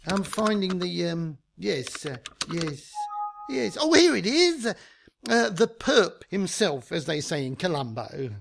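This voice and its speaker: A man acting in a radio comedy as a shifty, grandiose small-time fixer, with a theatrical, pompous and halting delivery. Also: high-pitched, big pitch swings, vocal fry, a little hiss or hum, heavily acted. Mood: bumbling, pleased.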